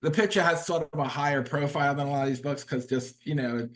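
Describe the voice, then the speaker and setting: A man's voice: on the high side, quick pace. Rambling man, casual webinar talk. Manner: rambling, frequent fillers and restarts. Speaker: guest author.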